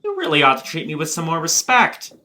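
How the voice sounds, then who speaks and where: A man acting in an audio play as a playful, boyish young gentleman with an animated, bantering manner. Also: fairly high pitch, fairly quick, exaggerated voice.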